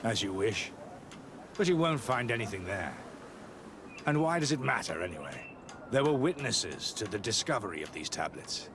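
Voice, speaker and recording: Zealous game monk, guarded and self-assured, really lively pitch, noisy ambience behind. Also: male voice, normal speed. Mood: impatient, firm. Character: guarded, zealous male missionary monk and scholar. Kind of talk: video game cutscene acting.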